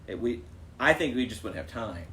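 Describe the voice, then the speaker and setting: A woman's voice: extremely low pitch, lively intonation, normal speed, a bit bright, a little hiss or hum. A woman co-hosting a casual improvised chat and reading out viewer questions.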